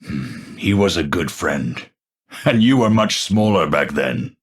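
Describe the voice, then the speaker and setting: An actor playing an eccentric old male scientist in a video game, dreamy and unhinged, with a halting, theatrical, musing delivery. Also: low voice, expressive, husky, exaggerated voice. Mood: fond.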